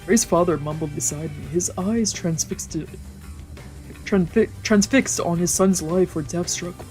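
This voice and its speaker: A woman streamer reading a visual novel aloud with casual, excitable, sweary reactions. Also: lowish voice, a little slow, faint music behind, poor audio. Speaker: let's play streamer.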